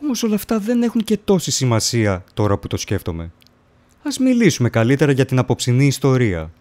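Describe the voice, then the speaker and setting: A man playing a sly, macabre coroner who hosts horror stories in a theatrical, deliberate manner. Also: mid-range voice, huge pitch swings, a little mellow. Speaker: host of horror story series. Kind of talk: theatrical horror anthology introduction.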